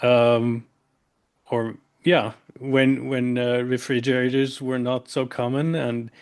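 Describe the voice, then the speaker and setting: A man's voice: medium pitch, flat delivery, slow, smooth. Hesitant man searching for words. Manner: hesitant, many fillers, searching for English words. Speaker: podcast host. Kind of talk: casual conversational interview.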